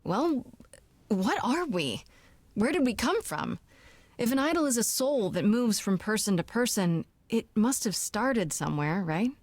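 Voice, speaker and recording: A woman's voice: a little high, sing-song, measured pace, darkish tone, dry recording, exaggerated voice. Inquisitive, uneasy young woman, dry sound. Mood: curious. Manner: inquisitive, plain-spoken, casual. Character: young mortal woman newly made a god, questioning, uneasy. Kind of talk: video game voice acting.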